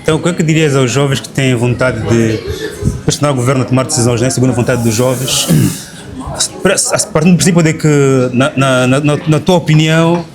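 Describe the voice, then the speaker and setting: A man interviewing casually on a podcast, haltingly repeating words as he frames his questions. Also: mid-range voice, over music.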